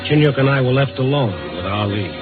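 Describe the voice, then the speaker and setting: An actor playing an earnest, world-weary American newspaper reporter in a 1950s radio drama, speaking in clipped, dramatic phrases. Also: male voice, medium pitch, lively intonation, brisk, close to an everyday voice. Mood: hushed, suspenseful. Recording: narrow-band vintage radio broadcast. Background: dramatic music score.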